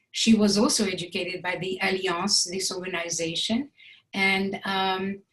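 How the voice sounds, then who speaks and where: An older woman from Egypt whose mother tongue is French, telling her family history in an interview, reflective and articulate, often pausing to correct herself. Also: medium pitch, low-quality recording. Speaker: interview guest. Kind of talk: personal family history storytelling.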